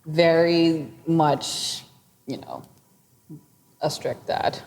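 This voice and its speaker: A woman's voice: low-pitched, very slow, a little mellow. A young woman with a Bahamian accent, co-hosting a casual podcast chat, talking loosely with lots of "like" and laughing often. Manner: chatty, laughs often, trails off with "like". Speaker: podcast co-host. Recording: roomy reverb, faint background hiss.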